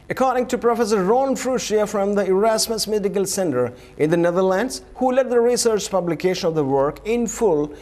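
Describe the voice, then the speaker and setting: A man reading a scripted news bulletin in a formal announcer manner. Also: sits high. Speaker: news reader.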